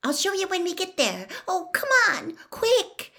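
An insistent storybook schoolgirl, acted by a woman, speaks in a very high, lively voice. She is eager and bossy, urgent and quick to get impatient. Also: full character voice. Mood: excited urgency.

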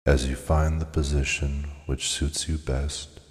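A man narrating a scripted guided meditation in a measured, soothing, hypnotic way with deliberate pauses. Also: rumbling low, level tone. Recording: long cavernous reverb on the voice.

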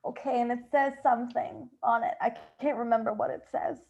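A woman improvising a dying rich hoarder in a comedy sketch, needy and defensive, pleading in halting phrases. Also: fairly high pitch, fairly even intonation, gravelly, a little mellow.

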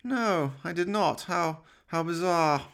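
A man's voice: fairly high pitch, takes it slowly, fairly bright tone, smooth, pitched above the performer's own voice. A man playing an evil scheming mad scientist in a horror radio play, theatrical, pompous and sneering. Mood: pompous, dismissive. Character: evil scheming mad scientist doctor, mocking and prone to rage.

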